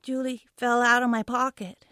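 Woman playing a high-voiced, defiant, frightened young girl. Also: flat delivery, normal speed, pushed and bright, no room sound, clean studio sound. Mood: angry, defiant. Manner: stammering, repeating herself, foul-mouthed bravado. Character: frightened, defiant young schoolgirl trapped in a car trunk. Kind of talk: dramatic first-person monologue.

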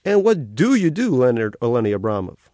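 A relentlessly cheerful electronic otter, pitched far up into a sing-song carnival patter and sitting louder than the story around it. He chirps every line like a friendly salesman, bright and bouncy, with wide swoops in pitch. The delivery is a storybook character voice. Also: big pitch swings, a little fast, dry recording, clean studio sound. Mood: chirpy. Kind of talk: audiobook character voice.

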